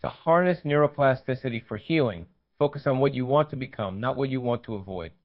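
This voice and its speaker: A man reading a scripted guided meditation in a measured, reassuring, instructional manner. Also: mid-range voice, dull audio.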